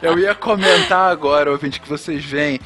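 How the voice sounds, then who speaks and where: Higher-pitched Carioca man, jokey podcast host. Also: normal speed, sharp tone. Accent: Carioca (Rio de Janeiro). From Brazil, moved very early to Rio de Janeiro. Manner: informal, jokey. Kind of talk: casual chat.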